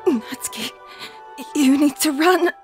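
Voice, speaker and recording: A woman voicing a reserved, composed teenage anime girl in an animated fan dub, measured and polite. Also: high-pitched, soft background music. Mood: grave.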